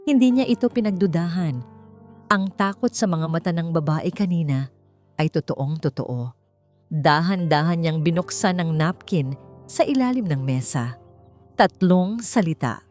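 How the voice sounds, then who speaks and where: A woman narrating a scripted story in a dramatic, suspenseful style, with deliberate short emphatic phrases. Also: on the low side, lively intonation, a little mellow. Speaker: audiobook narrator. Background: background music.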